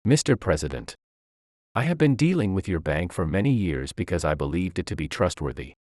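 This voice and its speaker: A man's voice: sits low, big pitch swings, a little fast, a little mellow, no echo, studio quality. A man voicing a firm, composed chief executive in a narrated story. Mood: cold, firm. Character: stern, composed male CEO delivering judgement.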